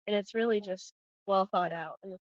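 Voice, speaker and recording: A woman's voice: medium pitch, moderate pace, no room sound, lo-fi sound. A woman with an American accent, co-hosting a travel vlog and describing details in plain, casual chat. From United States. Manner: plain-spoken, listing details.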